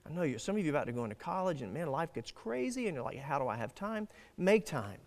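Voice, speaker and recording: A man's voice: a little high, very animated, fast talker. A man preaching a sermon as pastor, earnest and emphatic, often restarting his phrases. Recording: long hall reverb.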